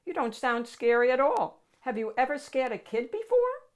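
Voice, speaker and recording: A woman's voice: high-pitched, sing-song, normal speed, voice pushed up. A woman voicing an anxious, picky child in a picture book, with an earnest, questioning manner. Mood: earnest, doubtful. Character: anxious, picky child narrator wanting a scary monster.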